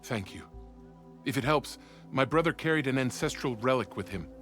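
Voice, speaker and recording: A man's voice: medium pitch, lively intonation, moderate pace, a bit bright, natural voice. An actor playing a formal, anxious male weapons officer in a video game, earnest and deferential in his manner. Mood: earnest, sorrowful. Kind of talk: video game cutscene acting. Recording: music behind the dialogue.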